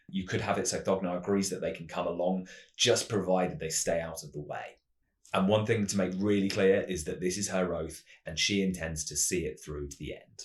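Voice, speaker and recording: Very deep-voiced man in a roomy space. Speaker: host, game master giving adventure advice. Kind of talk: tutorial narration of a fantasy roleplaying scenario.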